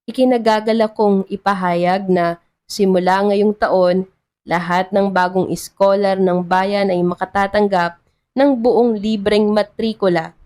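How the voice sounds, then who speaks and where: A woman voicing a benevolent male mayor giving a formal, measured public speech in an audio love story. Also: mid-range voice, darkish tone, lightly acted.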